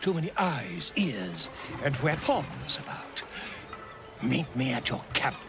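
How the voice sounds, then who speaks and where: A man playing a flamboyant, self-important, cowardly bard in a video game, with a florid, excitable, theatrical manner. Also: medium pitch, takes it slowly, vocal fry, music in the background, full character voice. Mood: relieved, jaunty. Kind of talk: dramatic video game acting.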